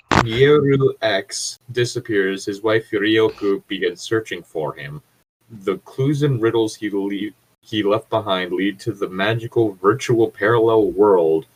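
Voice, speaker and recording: Lower-voiced man, casual and sweary. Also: poor audio. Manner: easily sidetracked, freely profane. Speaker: podcast co-host. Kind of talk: casual chat and read-aloud of internet horror stories.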